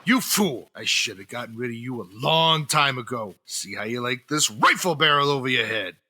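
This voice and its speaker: An actor playing a two-faced mission volunteer and schemer in a comedy radio drama in an old-time style. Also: male voice, medium pitch, lively intonation, moderate pace, noisy background, lightly acted. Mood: furious, menacing. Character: fawning mission volunteer hiding a bitter, scheming villain.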